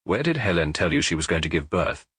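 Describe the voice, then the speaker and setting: A man's voice: low voice, big pitch swings, fast talker, fairly bright tone, slightly rough, no echo, clean studio sound, pitched below the performer's own voice, heavily acted. A man voicing a French police inspector who questions a suspect firmly and insistently, yet with some sympathy, in an audiobook detective story. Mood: probing.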